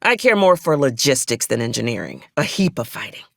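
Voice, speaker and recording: A woman's voice: a bass voice, big pitch swings, fairly quick, creaky, a bit bright, dry recording, clean studio sound, exaggerated voice. A woman playing a ruthless, wry military commander in a video game, speaking in a clipped, folksy and old-fashioned soldierly manner with sardonic menace. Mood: brisk, businesslike. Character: ruthless, wry female military officer, cold pragmatic commander. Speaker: acquisitions officer character in a video game. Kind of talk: scripted video game character dialogue.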